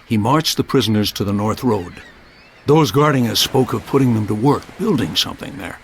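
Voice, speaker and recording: An actor playing a wise, steady centaur guide in a video game, earnest and measured in his delivery. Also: on the low side, vocal fry, slight background noise. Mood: grave. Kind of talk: scripted video game cutscene acting.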